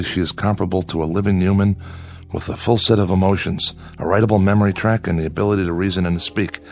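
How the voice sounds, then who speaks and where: A man with a lowish voice as an exile reading a technical manual aloud. His delivery is formal and deliberate, over faint music. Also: gravelly. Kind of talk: reading aloud in audio drama.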